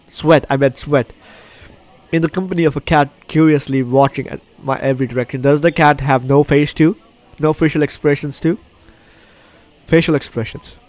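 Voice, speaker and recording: A man's voice: a little high, darkish tone, no room sound. A man hosting a game playthrough, reading the story aloud with reactions, often stumbling and correcting his words. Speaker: gaming video host. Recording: game music behind the voice. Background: game music.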